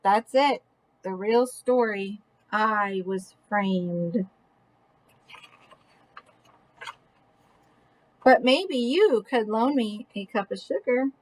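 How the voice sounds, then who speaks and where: A woman's voice: medium pitch, animated, slow, low-quality recording. A woman voicing an indignant male wolf who tells his side of a children's storybook tale in a folksy, mock-innocent way. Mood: wronged, pleading. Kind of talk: children's picture book read-aloud.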